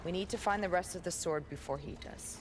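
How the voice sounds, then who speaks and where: A woman's voice: fairly low pitch, fairly quick, noisy background, close to an everyday voice. An actress playing a composed, determined female soldier in a video game cutscene. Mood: determined, terse.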